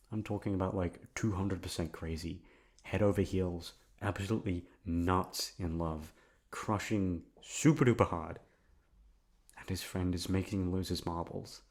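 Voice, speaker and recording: A man plays a young male best friend in an ASMR roleplay, hopelessly and obsessively in love. His voice sits fairly low. He talks intimately to the listener in a hesitant, nervous ramble, circling around what he really means.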